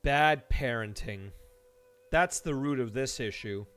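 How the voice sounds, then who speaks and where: A man's voice: medium pitch, takes it slowly, a bit bright, clear, resonant tone, faint music behind. A man giving casual, sardonic and joking commentary while streaming a game.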